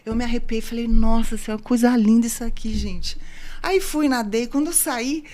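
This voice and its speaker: A woman in her fifties from São Paulo, a guest on an interview podcast, telling her story in a lively way and acting out the dialogue she quotes. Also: a little high, brisk. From São Paulo, Brazil. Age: 50s. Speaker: guest, open-water ultramarathon swimmer.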